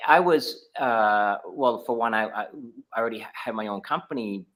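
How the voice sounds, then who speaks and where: A middle-aged man with a thick Boston accent chats as a guest on a podcast. His voice is bright and forward, and he wanders through his stories with plenty of fillers and false starts. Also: on the low side, normal speed. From New England, United States. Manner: rambling, many restarts and fillers. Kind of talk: casual podcast chat.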